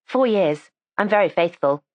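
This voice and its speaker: A woman's voice: mid-range voice, animated, normal speed, dry recording, muffled recording, close to an everyday voice. A woman reading the part of a precocious, matter-of-fact teenage schoolgirl who chats candidly and primly. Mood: earnest. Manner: chatty, candid and prim.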